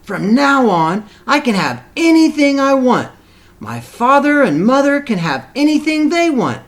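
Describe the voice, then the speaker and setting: Man voicing an excitable young donkey, high-pitched. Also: measured pace, full character voice. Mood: thrilled, dreamy. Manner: exclaiming, emphatic. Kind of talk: storybook read-aloud character.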